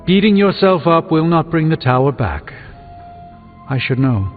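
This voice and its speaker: A man's voice: on the high side, big pitch swings, a little slow. A man voicing a solemn, erudite sorcerer superhero in a video game, with formal, theatrical diction. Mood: stern. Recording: lo-fi game audio under loud music.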